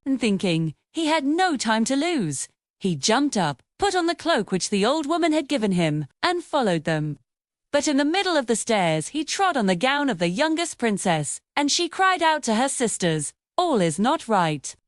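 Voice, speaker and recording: A woman's voice: high voice, very animated, normal speed, no room sound, studio quality. A woman reading a fairy tale as a scripted storybook narration.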